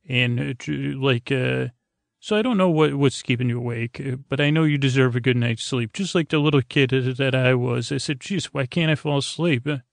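An American man with a creaky, gravelly voice hosting a bedtime podcast in a meandering, halting monologue that trails off and circles back. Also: mid-range voice, dry recording. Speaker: host of a bedtime sleep podcast.

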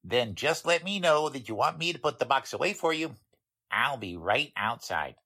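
A man's voice: medium pitch, lively intonation, normal speed, pushed and bright. A man playing a chatty, deferential bank attendant in a folksy, plain-spoken 1940s radio drama style.

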